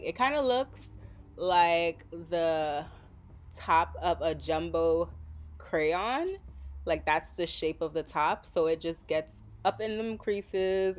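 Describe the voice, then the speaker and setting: A woman with a somewhat low voice talks viewers through a makeup look. Her delivery is easygoing and chatty, full of casual fillers, like a vlogger narrating over her own footage. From Trinidad and Tobago. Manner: chatty, relaxed, with frequent fillers.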